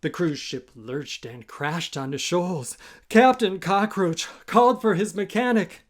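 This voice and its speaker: A man tells a musical picture-book story to young listeners. He takes his time, with a deliberate, rhythmic delivery and a theatrical read-aloud style. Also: high-pitched. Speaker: children's author reading own book.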